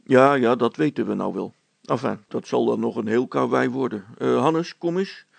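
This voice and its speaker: Man acting a brusque, gravelly, impatient handyman. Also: medium pitch, normal speed, no echo. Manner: blunt, exasperated, emphatic. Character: brusque, practical, impatient working man and father. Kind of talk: acted children's story.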